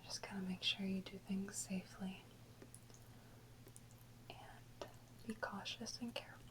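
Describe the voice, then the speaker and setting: A woman whispering softly and unhurriedly in a casual ASMR chat, close to the microphone. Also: medium pitch, level tone, measured pace, poor audio. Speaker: ASMR video host.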